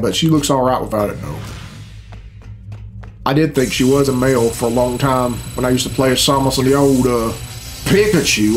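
A middle-aged man giving casual, unscripted reaction commentary in a blunt, sweary, bemused way, recorded at a computer in a room at home. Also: medium pitch. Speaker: reaction video host. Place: small room at home, indoors. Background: game cutscene music.

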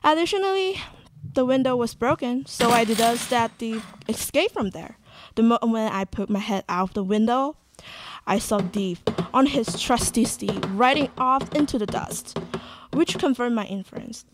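Woman as a proud high-voiced sheriff. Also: moderate pace, background noise, close to an everyday voice. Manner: boastful, storytelling. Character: proud, self-satisfied sheriff recounting heroics. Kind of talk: live student radio play.

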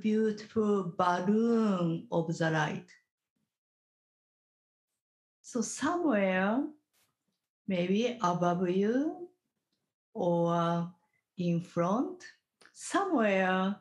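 A woman guides a meditation at an extremely slow pace. Her voice sits at a medium pitch. She gives gentle instructions in short, halting phrases, leaving long pauses between them. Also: low-quality recording.

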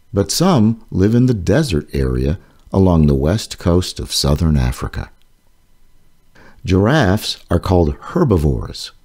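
A man reading his own children's nonfiction book aloud, slowly and carefully. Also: low-pitched, warm tone. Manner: slow, careful, clearly paced reading. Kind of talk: read-aloud narration for children.

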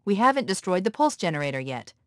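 A woman voicing a quick-witted, analytical military artificial intelligence in a video game, with a crisp, authoritative manner. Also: fairly low pitch, very animated, dry recording, studio quality. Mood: analytical, focused. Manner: crisp, precise, authoritative briefing.

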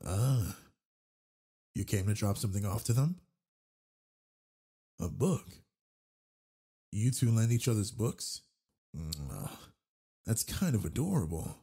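A man performs a brawny male werewolf alpha for a romantic audio drama. The voice is very husky and low, with a gravelly creak. He is flirtatious yet gentle and protective. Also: very animated, heavily acted. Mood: flirty, musing. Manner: slow, soft, teasing. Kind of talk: intimate romantic audio roleplay.

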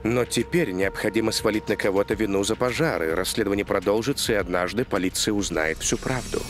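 A man's voice: on the low side, brisk, natural voice. A man voicing a deferential, calculating henchman in a cartoon dub. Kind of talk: animated series dub. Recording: music under the voice.

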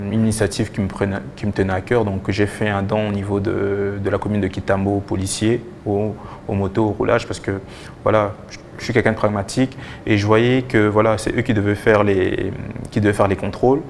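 A young man answers as a studio interview guest in a very deep, flat voice. He speaks haltingly, repeating words as he goes. There is some gravelly fry in his tone and a faint noisy rumble behind him. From DR Congo and Belgium. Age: young adult. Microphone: lapel mic.

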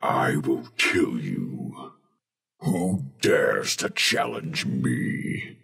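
A giant robot war leader, extremely deep and very slow. He speaks with stern, menacing command through a bass-boosted, pitched-down effect, like a film hero ready for battle. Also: very animated, fairly bright tone, full character voice. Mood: stern, threatening. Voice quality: deep, gravelly. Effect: pitched-down, bass-boosted processing.

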